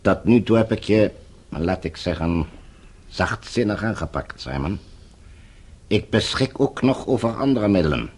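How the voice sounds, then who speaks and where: An actor playing a falsely courteous, menacing captor and regime agent in a Dutch radio drama, speaking in measured, formal, condescending phrases. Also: male voice, lowish voice, expressive, a little mellow, husky. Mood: coolly menacing.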